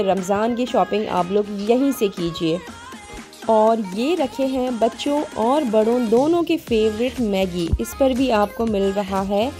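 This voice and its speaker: A woman vlogger walks the aisles, pitching deals in a chatty, upbeat way. Her voice is mellow and warm, and faint music plays under her phone recording. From Pakistan. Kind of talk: grocery store walkthrough narration.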